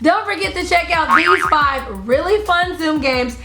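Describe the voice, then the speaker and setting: A woman's voice: very high-pitched, lively intonation, a little slow, pushed and bright. A woman hosting an online video, explaining party games in a chatty, friendly way to viewers. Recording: echoey room. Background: music in the background.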